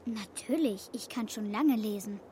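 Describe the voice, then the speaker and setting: A woman's voice: medium pitch, measured pace, mellow, a little hiss or hum, close to an everyday voice. A female performer playing an earnest, matter-of-fact schoolgirl granddaughter in a children's radio play. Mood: modest, frank. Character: earnest young schoolgirl, bright and eager granddaughter.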